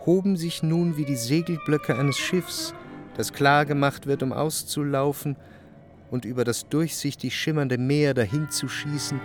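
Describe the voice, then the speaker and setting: A man playing a reflective, jealous lover who narrates his memories in measured literary prose for a radio drama. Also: medium pitch, natural voice.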